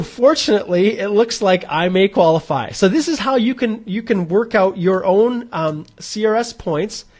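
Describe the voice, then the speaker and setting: A man's voice: high voice, darkish tone. A man in his forties hosting a live Q&A, chatting informally and thinking aloud as he walks viewers through an online points calculator. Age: 40s. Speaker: immigration advisor hosting a live Q&A. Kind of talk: live-streamed explainer walking through a calculator.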